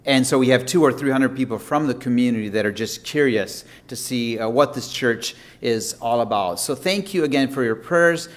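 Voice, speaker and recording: A man who is a missionary speaks to a congregation in a relaxed, friendly way. He talks casually, with frequent fillers. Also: mid-range voice, moderate pace, hardly any pauses. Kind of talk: casual church update.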